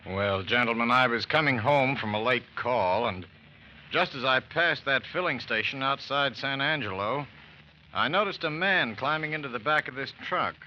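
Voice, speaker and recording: Casting: folksy small-town doctor, mid-pitched, unhurried witness in vintage radio drama, dull hissy recording. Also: male voice, expressive, pushed and bright. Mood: helpful recollection. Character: helpful small-town male doctor giving witness account. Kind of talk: 1940s-50s radio crime drama acting.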